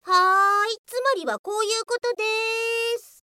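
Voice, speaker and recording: A woman playing a sharp-tongued, exasperated police officer in a comedic radio drama, scolding and switching between polite and rude. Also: very high voice, steady tone, a little slow, dry recording, faint music behind, exaggerated voice. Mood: smug.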